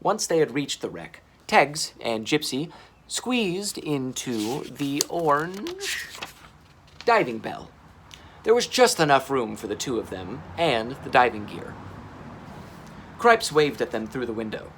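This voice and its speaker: A man reading a children's story aloud in an expressive, dramatic storytelling manner. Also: medium pitch, takes it slowly, a little hiss or hum. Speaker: storytime reader. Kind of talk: animated children's audiobook-style storytelling.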